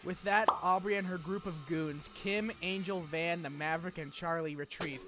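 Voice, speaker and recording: A man's voice: on the high side, fairly even intonation, normal speed. A man narrating a video essay in a casual, scripted recap style, with wry, slangy asides. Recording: music under the voice. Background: music.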